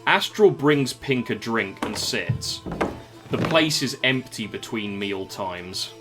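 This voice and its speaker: A man's voice: medium pitch, animated, slow pace, over music. A man narrating a scripted audio drama as the storyteller.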